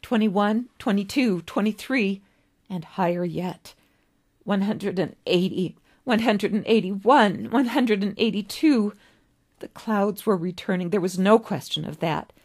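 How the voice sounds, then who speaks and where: A woman reading literary fiction aloud as an author would, with an expressive, dramatic storybook delivery and deliberate pauses. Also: medium pitch. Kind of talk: expressive scripted story narration.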